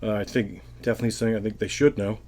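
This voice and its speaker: A man's voice: on the low side, fairly even intonation, rapid-fire, darkish tone. A Welsh man answering interview questions in a casual, thoughtful way, often rambling and restarting his sentences. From Wales.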